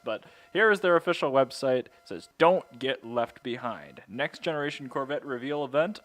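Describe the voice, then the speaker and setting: Male co-host, mid-pitched, deadpan and matter-of-fact, reads car news in a relaxed way. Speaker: podcast co-host. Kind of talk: casual car chat and news rundown.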